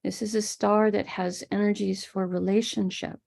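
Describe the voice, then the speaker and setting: A woman with an American accent, gently explaining and guiding a live online ritual as a spiritual teacher. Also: mid-range voice, level tone, moderate pace, creaky. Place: home room in Florida.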